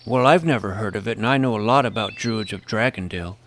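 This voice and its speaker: A man's voice: fairly low pitch, quick pace, fairly bright tone, a little hiss or hum, lightly acted. A man playing a naive, indignant role-player posing as a druid in a fantasy audio drama, mixing mock-archaic pomposity with plain petulant talk. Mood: curious, determined.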